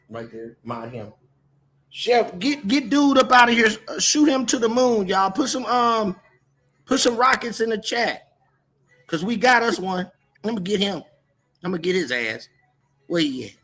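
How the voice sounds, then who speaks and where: A man's voice: high voice, big pitch swings, a little slow, long pauses, fairly bright tone. An American man from California hosting a casual livestream, talking in a slangy, repetitive, confrontational way as he moderates the chat. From Oakland, California, US.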